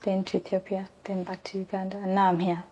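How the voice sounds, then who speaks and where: A young woman from South Sudan answering interview questions earnestly, pausing often with ums. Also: mid-range voice, flat delivery, dark tone, highs cut off. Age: young adult. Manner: earnest, hesitant with many ums. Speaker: interview guest. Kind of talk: conversational interview.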